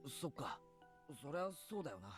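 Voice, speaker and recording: Visual novel teen son, eager n earnest, super quick, pitch jumps around a lot. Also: male voice, big pitch swings. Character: teenage boy, earnest son questioning his mother. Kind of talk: Japanese visual novel voice acting. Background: music.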